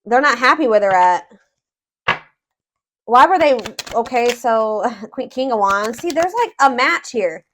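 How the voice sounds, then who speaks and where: A woman's voice: a little high, animated, measured pace, a bit bright, a little hiss or hum. A woman giving a casual tarot love reading, thinking aloud and often repeating and rephrasing herself as she works out each point. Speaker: tarot reader, host.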